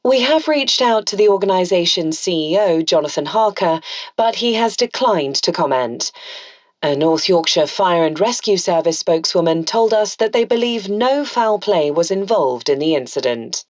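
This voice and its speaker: Audio drama bulletin: woman plays newsreader, lowish pitch, neutral formal scripted delivery. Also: animated, moderate pace, natural voice. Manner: formal, impersonal. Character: composed radio news reporter. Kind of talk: scripted news report.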